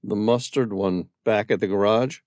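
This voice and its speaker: A man's voice: lowish voice, normal speed, darkish tone, dry recording, clean studio sound, lightly acted. A man reading aloud as a curious male stranger who keeps asking pointed questions. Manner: inquisitive, persistent questioning.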